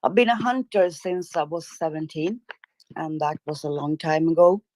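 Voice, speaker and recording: A woman's voice: low voice, normal speed, a little mellow, no room sound. A middle-aged woman from northern Sweden with a Swedish accent, calling in and speaking careful, hesitant English as a non-native speaker. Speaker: caller. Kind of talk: casual chat.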